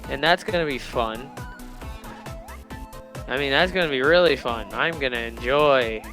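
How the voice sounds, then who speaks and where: A man's voice: medium pitch, unhurried, bright, forceful tone, faint music behind. A man giving casual, chatty commentary as host of a reaction video, emphatic and repeating himself.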